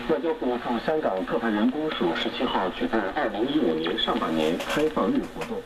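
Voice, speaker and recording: A man's voice: lowish voice, poor audio. A man reading scripted news as a presenter, heard through a radio. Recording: shortwave radio played in room, music.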